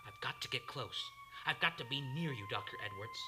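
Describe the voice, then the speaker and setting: A woman playing a tormented, guilt-ridden amnesiac man in old time radio drama, with a halting, overwrought delivery. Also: rumbling low, lively intonation, noisy background. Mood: dazed, menacing. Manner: stammering, repeating words, overwrought.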